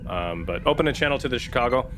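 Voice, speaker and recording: A male player talks through moves in a tabletop game. His delivery is casual and halting, at an average pitch. Faint noise sits in the background. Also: expressive.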